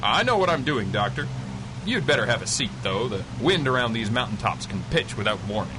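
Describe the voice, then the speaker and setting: An actor playing a smooth-talking, daring pilot adventurer in a radio drama, wry, courteous and coolly ruthless. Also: male voice, mid-range voice, very animated, brisk, noisy background, natural voice. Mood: smooth, reassuring. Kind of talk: 1930s-set radio adventure acting.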